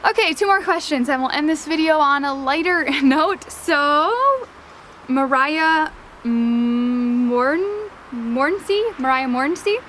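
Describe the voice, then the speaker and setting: A woman's voice: sits high, animated, slow pace, fairly bright tone, a little hiss or hum. A young woman from Wisconsin with a slight Wisconsin accent, chatting casually to camera in a Q and A vlog, full of "like" fillers. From United States, Wisconsin. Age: young adult. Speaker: vlogger.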